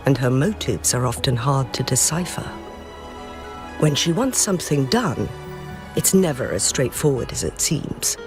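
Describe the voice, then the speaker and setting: A polite, thoughtful townsman in a video game. His voice sits a little high, formal and composed, with music clearly playing behind it. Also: full character voice. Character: courteous, composed male town acquaintance, earnest, thoughtful.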